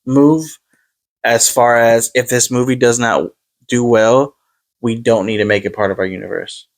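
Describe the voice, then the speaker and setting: A man's voice: medium pitch, fairly even intonation, moderate pace. A man in his twenties chatting casually, often repeating words and swearing offhand. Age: 20s. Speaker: podcast co-host. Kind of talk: casual movie chat.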